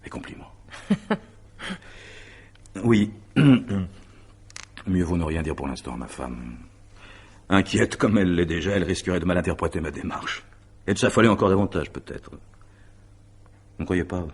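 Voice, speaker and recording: An actor playing a courteous, sceptical businessman in a French radio drama, speaking haltingly and with polite disbelief. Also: low-pitched, slightly rough. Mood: discreet, uneasy. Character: sceptical, impulsive businessman, married, courteous, flustered. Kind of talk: 1950s-style French radio drama acting.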